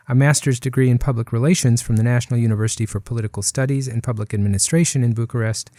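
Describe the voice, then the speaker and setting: A man hosts a university interview program, reading a polished introduction and leading the questions. His tone is mellow and warm, recorded close with a dry sound. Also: medium pitch, no echo. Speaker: host, college dean. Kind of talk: radio interview hosting.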